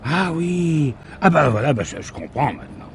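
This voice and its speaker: Casting: plain-spoken obliging driver, radio mystery, very lively pitch movement, colloquial musing, faint vehicle noise. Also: male voice, normal speed, creaky. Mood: puzzled. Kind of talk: French radio mystery drama acting.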